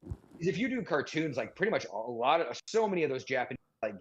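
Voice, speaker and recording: A man's voice: medium pitch, very animated, fast talker, a loud crowd. A man, chatting casually as a podcast co-host and often searching for words. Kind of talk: casual chat. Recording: dull, slightly muffled microphone.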